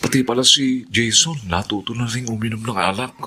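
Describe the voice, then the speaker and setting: A man playing an earnest, patient, devoted young suitor in a Filipino radio drama, speaking gently and reassuringly. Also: medium pitch, brisk, over music, lightly acted. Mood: concerned, reassuring.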